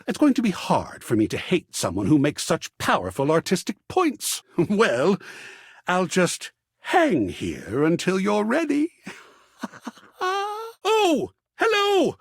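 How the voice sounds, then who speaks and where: A man's voice: high voice, slightly rough, no room sound, clean studio sound, full character voice. A male actor voicing a flamboyant, melodramatic, lovestruck living work of art in a video game, with florid, grandiose, theatrical delivery. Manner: florid, grandiose, swooning, dramatic swings between extremes.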